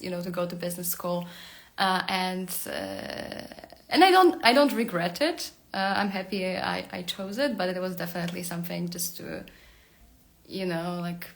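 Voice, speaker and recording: Chatty woman with vocal fry. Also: fairly low pitch, a bit bright. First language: Polish. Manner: chatty and rambling, with many fillers and restarts. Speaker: career coach hosting a live stream. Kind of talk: casual live-stream monologue. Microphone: phone.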